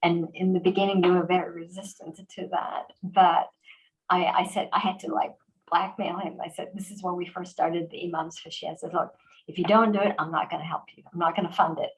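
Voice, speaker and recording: A woman with a lowish voice talks in an easy, conversational way. She tells her stories with animation, breaking into quick restarts and fillers. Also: little pitch movement, rough recording. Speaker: organization president and host. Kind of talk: conversational interview.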